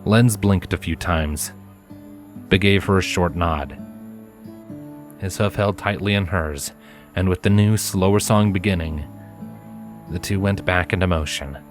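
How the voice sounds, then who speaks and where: A man reading fiction aloud as an expressive narrator of a scripted story. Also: low voice, measured pace, pauses often, faint music behind.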